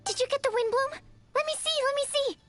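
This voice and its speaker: Very high-voiced, eager young alchemist. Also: female voice, quick pace. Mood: excited. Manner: earnest, eager, effusive explaining. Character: excitable, studious young woman alchemist. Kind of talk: video game voice acting.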